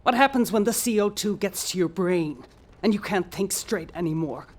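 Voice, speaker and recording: A woman's voice: medium pitch, animated, moderate pace, lightly acted. An actress playing a proud, guilt-ridden female space mission commander with a clipped, formal manner in an audio drama. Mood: anguished. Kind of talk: naturalistic audio drama acting.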